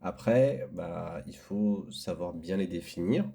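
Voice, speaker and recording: Man, casual co-host: deep voice, warm tone, easygoing and agreeable. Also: takes it slowly, gravelly. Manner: relaxed, agreeing, loose with fillers. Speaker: podcast co-host. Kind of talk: casual chat.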